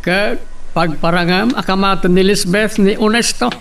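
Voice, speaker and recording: A man's voice: high-pitched, normal speed, heavy background noise. A man playing a genteel, sociable parent from a well-off family in a radio drama. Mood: chatty, admonishing. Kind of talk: Ilocano radio drama acting. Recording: slightly distant microphone.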